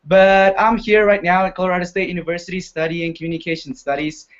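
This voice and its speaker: A man's voice: high-pitched, little pitch movement, fast, muffled recording. Indonesian man, friendly student panelist. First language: not English. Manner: friendly, self-deprecating, many fillers. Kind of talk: casual introduction on a live web chat.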